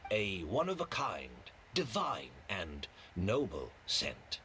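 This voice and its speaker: A man's voice: sits low, huge pitch swings, takes it slowly, bright, forceful tone, a little hiss or hum, exaggerated voice. An actor playing a courteous, apologetic male hotel host in a video game, with a polite, explanatory way of talking. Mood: businesslike.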